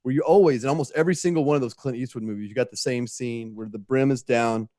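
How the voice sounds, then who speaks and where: A man's voice: medium pitch, fast talker, dark tone, dry recording, studio quality. A man speaking as a guest writer in an interview, telling a story in a rambling, conversational way.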